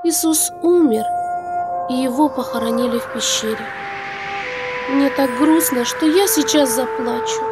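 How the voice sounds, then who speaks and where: A sweet little girl for a children's puppet show. She speaks in a high, childlike voice with an innocent, questioning manner. Music is clearly audible behind her. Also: lively intonation, a little slow. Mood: sad, tearful.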